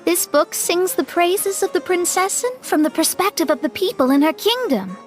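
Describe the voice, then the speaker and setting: An actress voicing a composed young woman adventurer who reasons aloud in a measured way. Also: very high voice, animated. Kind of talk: game voice acting. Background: music.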